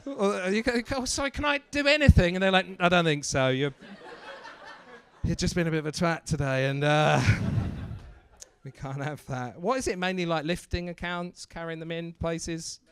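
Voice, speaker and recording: A man doing stand-up, teasing audience members in quick, joking crowd work. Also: on the high side, clean tone. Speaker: stand-up comedian.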